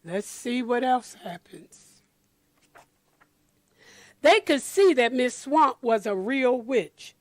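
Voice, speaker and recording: A woman reading a picture book aloud to children, deliberate and expressive, pausing to comment to her listeners. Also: a little high, dry recording. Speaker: storyteller for children.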